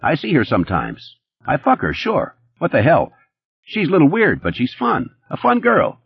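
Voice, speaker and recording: Guy doing a nervy sleazy playboy, way higher than his own voice, husky, bouncy pitch. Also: wildly swooping pitch, dull audio, exaggerated voice. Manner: rapid, nervous, boastful, pitched high and pushy. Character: jittery fast-talking male nightclub playboy, sleazy.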